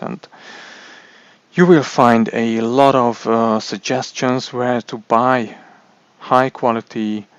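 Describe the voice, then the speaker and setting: Man, Hungarian accent, non-native speaker, halting explainer, gravelly, dull dry sound. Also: mid-range voice, no echo. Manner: halting, many restarts and fillers. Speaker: tech reviewer. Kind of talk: casual explainer monologue.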